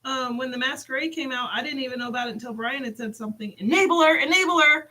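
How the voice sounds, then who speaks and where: A woman with a hoarse voice chatting casually beside the host, tossing out quick, offhand remarks. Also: high voice, pushed and bright, low-quality recording. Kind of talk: casual chat.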